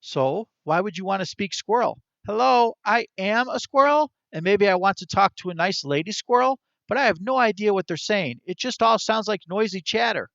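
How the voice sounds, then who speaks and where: A man voicing a clever, touchy male talking squirrel who talks quickly and complains with indignation. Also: high-pitched, lively intonation, creaky, a bit bright, no room sound, dull audio, voice pushed up, full character voice. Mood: self-pitying.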